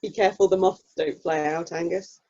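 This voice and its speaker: Woman acting a mellow, husky friendly mum. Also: fairly low pitch, fairly even intonation, moderate pace, close to an everyday voice. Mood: teasing, cheerful. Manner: chatty, gently teasing. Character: friendly, sensible young mother selling raffle tickets. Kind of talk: amateur radio play acting. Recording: dull, lo-fi video call sound.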